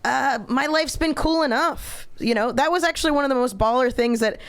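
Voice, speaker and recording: A woman's voice: sits high, fairly quick, smooth. A woman comedian chatting casually as a podcast guest, with a blunt, matter-of-fact, rambling way of talking. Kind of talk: casual philosophical chat.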